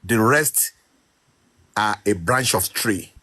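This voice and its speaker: A man giving his personal opinion in a deliberate way during a casual group discussion. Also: lowish voice, expressive, bright, forceful tone, dry recording. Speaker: participant in online discussion.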